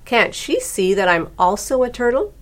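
Woman voicing an earnest little turtle, raised pitch. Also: big pitch swings, moderate pace. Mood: polite, earnest. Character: polite, friendly young female purple turtle. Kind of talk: children's storybook reading.

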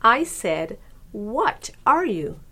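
A woman's voice: fairly low pitch, expressive, moderate pace. A scornful little female turtle from a children's storybook, acted out by a woman reading aloud. She is rude and mocking, and lands her words pointedly.

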